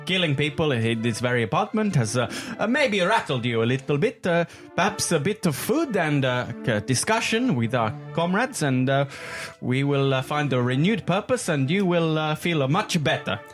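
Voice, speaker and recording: A man's voice: on the high side, very animated, normal speed, hardly any pauses, faint music behind. Man playing a hesitant, courteous foreign inventor. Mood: reassuring. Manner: hesitant, courteous, formal phrasing. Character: guarded, kindly, formal foreign male inventor.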